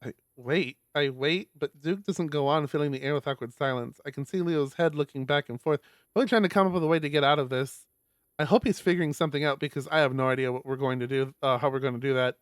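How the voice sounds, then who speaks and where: A man's voice: a little high, fast talker, smooth, no echo, studio quality. A man reading a visual novel aloud on a stream, expressively, with casual sweary asides.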